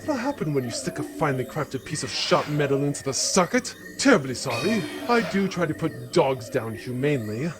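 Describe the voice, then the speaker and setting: A man's voice: on the high side, big pitch swings, fairly quick, hardly any pauses, over music. A man playing a pompous, vain, vengeful archduke in a comic space-opera audio drama, with florid, sneering theatrical delivery. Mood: sardonic menace.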